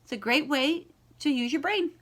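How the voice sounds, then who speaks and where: Female library read-aloud host, higher-pitched, expressive and encouraging with kids, leans on key words. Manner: dramatic pauses, stretched key words, encouraging. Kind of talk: expressive children's storybook read-aloud, then chat to young listeners.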